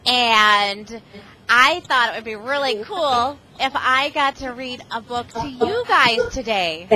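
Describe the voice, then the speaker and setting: A woman's voice: on the high side, expressive, takes it slowly, bright, forceful tone, slight background noise, low-quality recording. A middle-aged woman reading aloud to a class of children over a video call, exclamatory and often asking them questions. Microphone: video call microphone.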